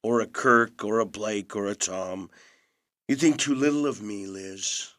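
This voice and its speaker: An actor in an audio play playing a charming but self-blaming movie star and estranged older brother, measured and quietly intense with dry teasing. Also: male voice, on the low side. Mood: dry, probing. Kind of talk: intimate audio play acting.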